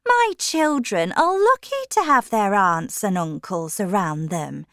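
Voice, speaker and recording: Female reader as a fussy, anxious wife of about forty, high and pleading, dry recording. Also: a bit bright, no echo, exaggerated voice.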